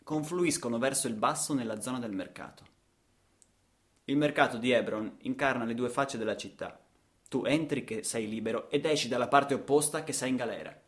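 A man's voice: medium pitch, moderate pace. Male actor for a radio theatre monologue; rhythmic, dramatic storyteller delivery. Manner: dramatic, rhythmic delivery with repetitions and rhetorical questions.

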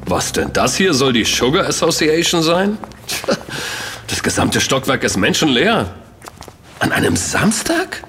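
An actor playing an excitable, naive companion who blurts things out incredulously in a German audio drama. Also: male voice, medium pitch, unhurried, exaggerated voice. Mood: bewildered. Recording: music clearly behind the voice.

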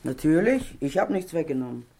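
A gossipy middle-aged landlady in a radio crime drama. Her voice is creaky and swings up and down expressively. She chatters on with self-righteous indignation and sly insinuation. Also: low voice, sing-song, a little fast, warm tone, full character voice. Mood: indignant. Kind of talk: German crime radio play acting.

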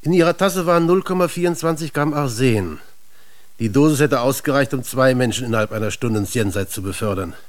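An actor playing a shrewd, businesslike English police inspector in a German radio crime drama, probing and dryly ironic in manner. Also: male voice, mid-range voice, brisk, lightly acted.

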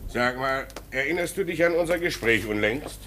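Fatherly, eloquent official, acted by a man, animated intonation. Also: medium pitch, natural voice. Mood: amiable. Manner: avuncular, measured, formally eloquent, reassuring. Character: kindly, fatherly high-ranking court official, patient and learned. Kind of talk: German radio drama acting. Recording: noisy old radio drama recording.

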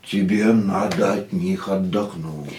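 An actor playing an ardent, reflective racing driver in a radio drama, speaking in an intimate, searching, romantic manner. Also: male voice, deep voice, fairly even intonation, slow pace, darkish tone. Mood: animated, reflective. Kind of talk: radio play acting.

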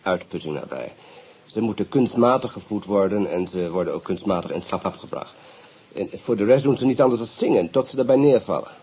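A man acting a courteous, guarded British police investigator in a radio play, speaking in a measured, matter-of-fact way with polite English phrases. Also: fairly low pitch, animated, mellow, husky. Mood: matter-of-fact, grave. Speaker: police officer. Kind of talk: radio drama dialogue.

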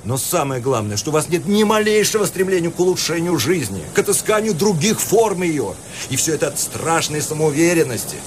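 Earnest, exasperated professor. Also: male voice, a little high, big pitch swings, a little fast, a little hiss or hum. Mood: exasperated, indignant. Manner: lecturing, indignant, articulate. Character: earnest, exasperated, cultured Moscow university professor. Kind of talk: Russian radio play acting.

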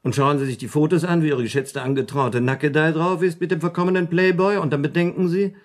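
An actor in a German radio play voicing a shrewd, haggling man, persuasive and deliberate, with a slightly husky voice. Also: on the high side, steady tone, natural voice.

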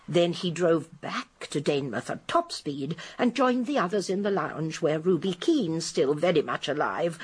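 A woman's voice: fairly low pitch, breathy edge. An actress playing a shrewd, genteel elderly English spinster detective in a radio drama, precise and emphatic as she explains. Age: older. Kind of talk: British radio drama acting.

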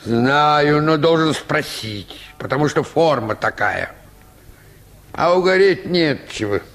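An actor playing a grumpy, slovenly, officious village medical orderly in a Russian radio play, irritable, curt and bossy. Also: male voice, a little high, animated, takes it slowly, fairly bright tone, full character voice.

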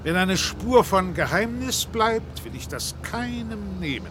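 A calm, evasive official talks in a radio play. His voice is high for a man and formally composed, with distinct engine noise behind it. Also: unhurried. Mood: composed, reverent.

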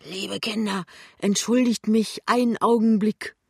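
A woman's voice: mid-range voice, fairly even intonation, a little slow, gravelly, slightly rough, no echo, pitched above the performer's own voice. A jovial, tipsy man of middle age played by a woman reader in a literary audio drama. He rambles along breezily and good-naturedly, a bit raised above the narration, in a dry studio sound. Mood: tipsy breezy.

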